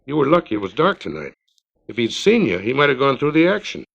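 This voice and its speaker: A man's voice: medium pitch, sing-song, fast, creaky, fairly bright tone, pitched above the performer's own voice, full character voice. A man reading an earnest small-town man's emphatic, colloquial dialogue in a story, on a 1950s record. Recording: dull 1950s vinyl record.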